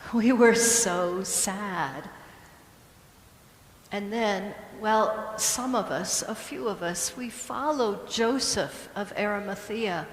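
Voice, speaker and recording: A woman playing a sorrowful disciple who witnessed the burial, halting and repeating words in a church dramatic reading. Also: on the high side. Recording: echoey church hall with long reverb.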